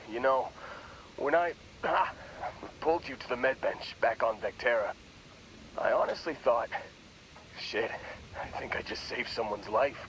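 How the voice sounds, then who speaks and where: An actor playing a groggy young space miner in a video game, talking in a wry, rambling, hesitant way. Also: male voice, mid-range voice, fairly bright tone, a little hiss or hum, rough recording. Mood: sheepish, sincere. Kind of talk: video game character dialogue.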